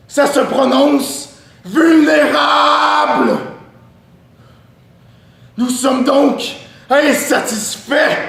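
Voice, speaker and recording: An anguished male poetry declaimer played by a man speaks very high and slowly, with a gravelly edge. Heavy echo surrounds the defiant theatrical delivery. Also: a bit bright, full character voice. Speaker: student stage performer. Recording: very echoey live stage recording.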